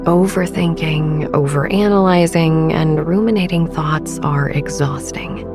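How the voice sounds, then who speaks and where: A woman in her thirties gently narrating a sleep meditation as podcast host, with a soft, breathy, soothing and unhurried delivery. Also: deep voice, music in the background.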